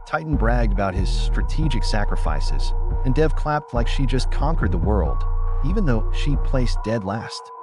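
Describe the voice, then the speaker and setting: Male audiobook narrator, very lively intonation. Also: mid-range voice, measured pace. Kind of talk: first-person scripted story narration. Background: music.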